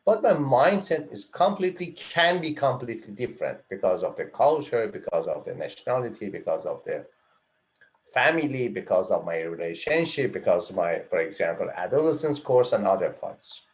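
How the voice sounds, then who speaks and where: A man giving an online psychology lecture, repeating and rephrasing his points and listing items one after another, with emphatic rises and drops in pitch. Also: big pitch swings, normal speed, low-quality recording. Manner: repeats and rephrases phrases, lists items in runs. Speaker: psychology instructor.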